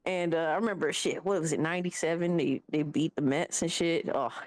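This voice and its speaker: A man's voice: fairly high pitch, a little fast, dry recording. A young man telling a rambling story in casual chat, full of fillers and asides.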